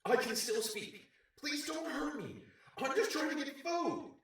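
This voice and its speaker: Super echoey far away actor doing a scared guy pleading n yelling, radio play, really high voice. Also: animated, measured pace, full character voice. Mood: terrified. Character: terrified man begging for mercy. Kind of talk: radio drama acting. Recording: very echoey, distant from mic.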